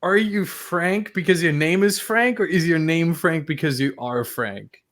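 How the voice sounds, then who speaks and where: A man's voice: a little high, unhurried, fairly bright tone. A man from Germany, a native German speaker, chatting casually in English and making jokes. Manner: joking, punning. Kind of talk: casual chat.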